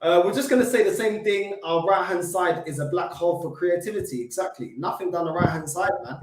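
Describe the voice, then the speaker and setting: A man's voice: sits high, a little fast, noisy background. A man with a London accent, co-hosting a casual football fan live chat, bantering in slang and reading out comments. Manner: banter-filled, laughs often, London slang. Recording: roomy echo.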